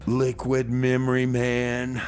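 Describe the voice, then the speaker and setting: A man's voice: mid-range voice, monotone, takes it slowly, darkish tone, slight background noise. A male radio host with a folksy, rambling manner, bantering between songs and comedy bits. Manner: folksy, rambling, repeats phrases.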